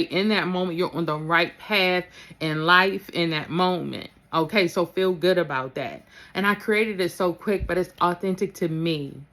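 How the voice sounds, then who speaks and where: A woman's voice: fairly low pitch, fairly bright tone. A woman speaking as a spiritual coach in a casual, motivational talk to her followers, informal and emphatic, often checking in with her listeners. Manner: informal, emphatic, addresses listeners with "okay" and "honey".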